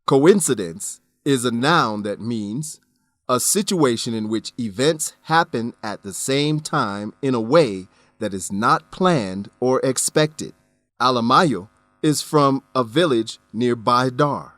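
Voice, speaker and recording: A man narrating a radio drama and teaching vocabulary in a scripted, clear, explanatory manner. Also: medium pitch, animated, a bit bright, no room sound. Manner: clear, explanatory, measured emphasis.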